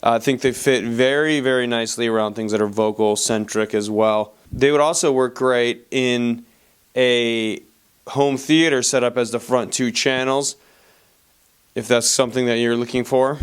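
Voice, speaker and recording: Guy doing a laid-back review voiceover, mid pitch, really clean smooth voice. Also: fairly even intonation, normal speed. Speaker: product reviewer. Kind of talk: casual review voice-over.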